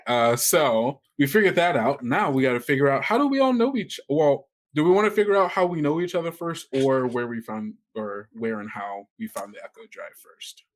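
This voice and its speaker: A man planning a tabletop game story casually with friends, often restarting his thoughts as a podcast player. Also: medium pitch. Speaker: player in an actual-play podcast.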